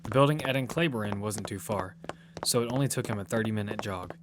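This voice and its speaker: A man's voice: fairly low pitch, fast talker, background noise. A man giving scripted narration for a piece of audio fiction. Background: music.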